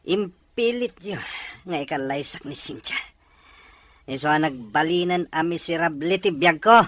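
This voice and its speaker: A man's voice: fairly high pitch, normal speed, dry recording, close to an everyday voice. A man acting a bitter, unhappily married adult son who defies his mother in an Ilocano radio drama, with an Ilocano accent and an accusing manner. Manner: forceful, accusing.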